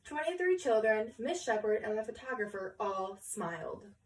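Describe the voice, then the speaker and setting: A woman reading a picture book aloud to children in an expressive storytelling style. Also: a little high, normal speed. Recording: echoey room, distant mic.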